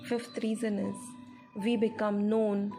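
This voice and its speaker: A woman narrating a scripted guided meditation in a measured, instructive way. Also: mid-range voice, level tone. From India. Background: background music.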